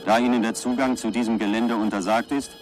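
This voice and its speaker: An actor playing a stern army commander who delivers a dry, formal confidential report in a radio drama. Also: male voice, mid-range voice, steady tone, fast, a little hiss or hum, natural voice. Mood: stern, official. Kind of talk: radio drama military dispatch.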